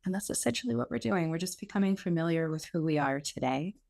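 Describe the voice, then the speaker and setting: Lady leading a guided meditation, lowish voice w lots of fry, takes her time, reassuring. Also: animated, darkish tone. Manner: unhurried, reassuring, with pauses. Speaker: yoga meditation teacher. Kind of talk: guided meditation, gently instructive.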